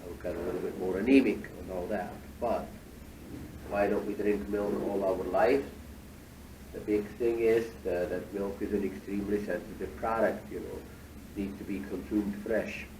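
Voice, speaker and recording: A man's voice: low voice, fairly even intonation. Man guiding a factory tour. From Switzerland. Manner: unhurried, many fillers, slightly foreign phrasing. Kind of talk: explaining on a guided tour. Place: cheese factory floor. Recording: echoey factory hall, distant mic, machinery noise.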